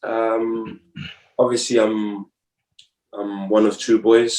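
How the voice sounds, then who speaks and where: A man's voice: lowish voice, flat delivery, takes it slowly, mellow. A young man from Zimbabwe, a guest chatting casually and laughing often, repeating his phrases. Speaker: guest, rugby player. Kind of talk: casual conversation. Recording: echoey room, distant mic.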